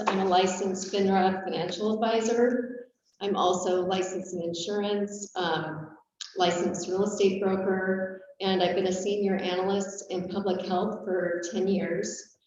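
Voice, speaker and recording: A woman in her forties giving a brisk, self-assured board candidacy statement over a video call, with frequent filler words. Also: medium pitch, darkish tone, slight background noise. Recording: muffled, roomy, distant call audio.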